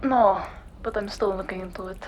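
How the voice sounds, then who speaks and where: A woman acts as a cool-headed female investigator in a cyberpunk noir drama. Her pitch is average and her delivery measured and matter-of-fact, with an inquisitive edge. There is faint noise behind her. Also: steady tone, a little mellow, close to an everyday voice, poor audio. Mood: curious, cool. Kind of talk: noir audio drama acting.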